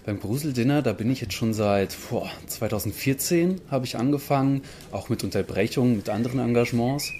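A man's voice: mid-range voice, brisk, hardly any pauses, dark tone. A man, a stage actor, answering questions in a casual on-location interview. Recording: on-location interview with background noise.

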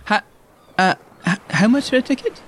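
A defiant young Scottish shepherd, played by a woman, stubborn and anxious as a reluctant prophet. The delivery is lively, with big swings in pitch, in a comedic audio drama. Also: moderate pace, husky. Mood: anxious, pleading. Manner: defiant, exasperated.

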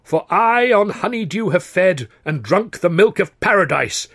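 A man voicing an eager, stage-struck young English gentleman in a storybook, effusive and theatrical. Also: medium pitch, a little fast, slightly rough, no room sound, clean studio sound. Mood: exuberant.

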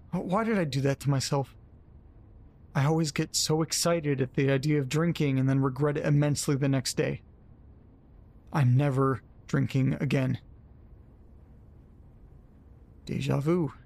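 An actor playing a hungover man in an audio drama, muttering wearily and haltingly to himself and his dog with groans and sighs. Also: on the high side, creaky, a little mellow. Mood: weary, regretful. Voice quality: groaning, sighing. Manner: weary, self-pitying, halting muttering. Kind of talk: first-person audio drama monologue.

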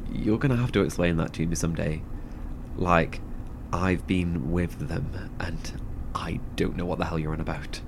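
A man acting a heartfelt, halting, foul-mouthed survivor devoted to his brother in a horror audio drama. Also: sits low, steady tone, noisy background. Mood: quietly fond.

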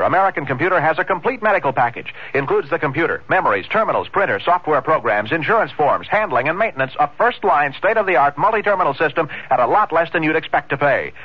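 A man's voice: fairly high pitch, husky. A man reading a scripted local radio advertisement as an announcer. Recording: muffled old AM radio broadcast.

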